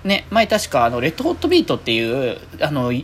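A woman's voice: rumbling low, wildly swooping pitch, quick pace, slightly rough. A woman hosting a casual solo podcast, rambling along and often correcting herself as she goes. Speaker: podcast host. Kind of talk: casual solo free talk.

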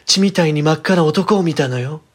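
A man's voice: fairly high pitch, a little fast, voice pushed up, natural voice. A man voicing a headstrong, cheeky young girl who spooks easily, with girlish, dramatic phrasing in a horror storybook reading. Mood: frightened.

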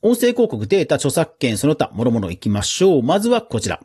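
A man's voice: on the high side, huge pitch swings, a little fast, a little mellow, soft background music. A man hosting a podcast news round-up in a casual, chatty way, with informal asides and little interjections of agreement. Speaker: podcast host.

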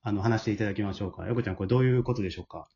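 A man's voice: on the low side, very fast, warm tone. A man with a Kansai accent hosting a casual group chat, polite and talkative, often echoing and affirming the others, on a dull online-call recording. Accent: Kansai Japanese. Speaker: host of an amateur radio drama group's talk show.

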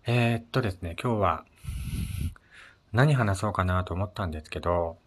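Male solo host, low register, polite and conversational, casual radio chat. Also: normal speed, a bit bright. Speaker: host of a personal audio show.

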